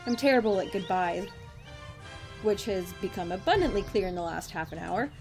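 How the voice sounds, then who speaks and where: A woman's voice: mid-range voice, expressive, moderate pace, creaky. A woman playing a sincere, commanding leader with a plain-spoken, earnest manner in an audio drama. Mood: tender. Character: sincere, commanding female leader with dry humour. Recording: music underneath.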